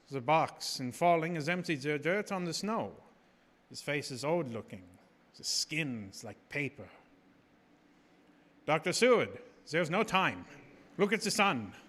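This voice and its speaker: A grave old vampire hunter speaks in halting, commanding phrases. His voice sits fairly high, ringing through long reverb over clear noise, in the manner of a live radio horror play. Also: lively intonation, fairly bright tone. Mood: urgent, commanding. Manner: solemn, halting foreign phrasing. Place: small theater stage. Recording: noisy live stage broadcast, long hall reverb.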